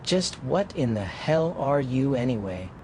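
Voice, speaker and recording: A man voicing an entitled, defensive young male rioter in an animated series, curt and indignant. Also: unhurried. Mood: indignant fear. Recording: clear low rumbling background noise.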